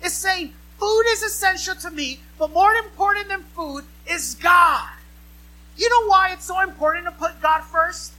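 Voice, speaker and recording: High, bright-voiced woman preacher. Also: measured pace, poor audio. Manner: emphatic, repetitive phrasing with rhetorical questions to listeners. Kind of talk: sermon to a congregation.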